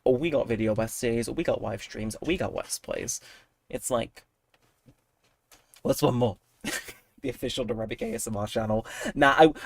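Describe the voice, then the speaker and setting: A man's voice: medium pitch, no room sound. A young adult man chatting casually with viewers while streaming a game, rambling and poking fun at himself. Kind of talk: casual livestream chat while gaming.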